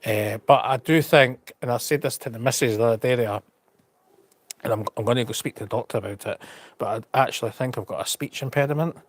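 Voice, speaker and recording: A man's voice: mid-range voice, fairly quick. Scottish man chatting with a slight stutter. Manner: stutters a little, repeats words; rambling, frank. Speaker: travel vlogger on a live stream. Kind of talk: casual chat.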